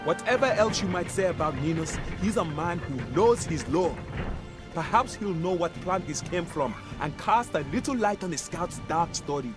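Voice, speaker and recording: A man's voice: fairly high pitch, animated, normal speed, close to an everyday voice. A man playing a grim, embittered city guard in a fantasy video game, speaking in a brooding, earnest, dramatic way. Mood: grave, urgent. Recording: game music behind.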